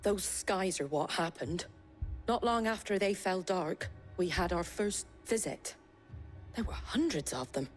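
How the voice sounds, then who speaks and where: Blunt innkeeper woman, lowish voice. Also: expressive, unhurried. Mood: weary, urgent. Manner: wry, blunt, matter-of-fact. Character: tough, plain-spoken woman innkeeper who leads. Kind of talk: dramatic cutscene acting. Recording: music behind the voice.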